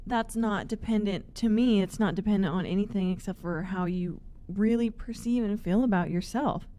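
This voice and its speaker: A woman co-hosting a talk show, speaking in a reflective, measured way. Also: mid-range voice, mellow. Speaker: co-host. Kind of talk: casual talk show chat.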